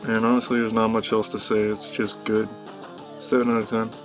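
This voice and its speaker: A man's voice: lowish voice, completely flat delivery, a little fast. A young man giving a casual review voice-over in a blunt, slangy, profane manner. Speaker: YouTube movie reviewer. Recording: music bed behind voice. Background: background music.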